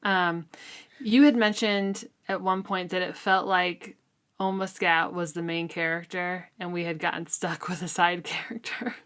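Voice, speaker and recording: A woman's voice: on the low side, little pitch movement, unhurried, fairly bright tone, clean tone. A woman co-hosting a podcast, giving thoughtful, hedged opinions in a casual book discussion. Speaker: co-host of a book read-along podcast.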